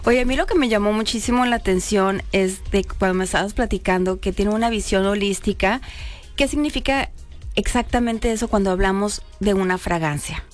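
A woman's voice: mid-range voice, brisk, faint music behind. A woman hosting a TV talk show, chatting with her guests and often checking in with "right?". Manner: warm affirming, frequent "perfecto" and "¿verdad?". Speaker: TV show host. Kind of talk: casual interview chat. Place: Baja California Sur, Mexico.

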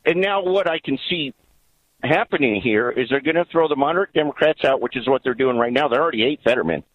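A man's voice: mid-range voice, animated, fast talker, no room sound, highs cut off. A man calling a political radio show by phone, making his case earnestly and insistently over a band-limited line. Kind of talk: political opinion on call-in radio. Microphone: telephone.

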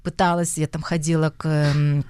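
A young woman from Russia with a Russian accent, an actress giving an interview, often pausing and searching for words. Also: low-pitched, little pitch movement, darkish tone, clear, resonant tone, dry recording, clean studio sound. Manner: hesitant, searching for words, frequent fillers. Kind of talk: conversational interview.

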